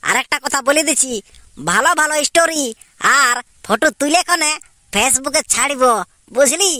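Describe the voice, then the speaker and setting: A man acts out a young secret lover in a homemade cartoon. The voice is very high, bright and dry, with a Purulia Bengali accent. He comes across as cocky and insistently plotting. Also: moderate pace, no room sound, exaggerated voice. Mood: cocky, eager. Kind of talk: amateur cartoon dialogue.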